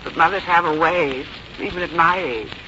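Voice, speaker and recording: A woman's voice: low voice, moderate pace, close to an everyday voice. An actress as an elderly, independent mother in a 1950s radio drama. Her voice is folksy and wryly confiding, heard through a dull old broadcast with music behind it. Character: proud, wry, independent seventy-three-year-old mother.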